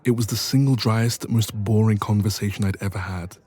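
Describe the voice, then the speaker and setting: A man's voice: on the low side, a little fast, breathy edge. A man playing a playful, teasing young best friend in a romantic roleplay audio, chatting casually and intimately. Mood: exasperated. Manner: playful, teasing, expressive, soft laughs.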